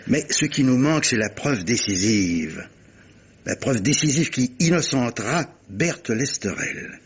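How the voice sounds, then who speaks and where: A shrewd army captain sleuthing in a vintage French radio mystery. His voice is very husky and swoops widely, with emphatic, theatrical coaxing, all on a muffled recording. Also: mid-range voice, animated, unhurried. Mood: brisk, intrigued. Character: shrewd, genial army captain turned amateur detective. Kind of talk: 1950s French radio drama acting.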